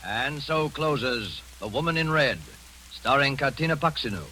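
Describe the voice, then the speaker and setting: Brief: male radio announcer, bright crisp voice, formal delivery, music underneath. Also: mid-range voice, animated, normal speed, poor audio. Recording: old radio broadcast with music.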